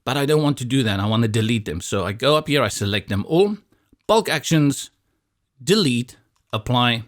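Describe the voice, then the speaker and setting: A man from South Africa, Afrikaans his first language, with a South African accent, calmly talking through a software tutorial step by step with a bit of light humor. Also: mid-range voice, moderate pace. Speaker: tutorial instructor. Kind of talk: screen-recorded software tutorial.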